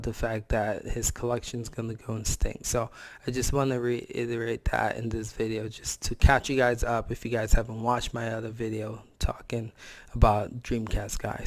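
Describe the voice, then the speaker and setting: A man hosting a gaming reaction video, hoarse from a cold, talking in a blunt, slangy, casual way. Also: mid-range voice, no echo. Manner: blunt, slangy, scolding the other creator. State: hoarse from a cold and sore throat. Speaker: reaction channel host.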